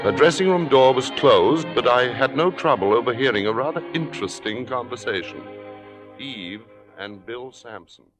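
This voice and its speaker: Arch, knowing critic-narrator male actor, precise and highly inflected, vintage radio drama, music underneath. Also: animated, normal speed, lightly acted. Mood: arch intrigue. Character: suave, knowing male theater critic, drily suspicious.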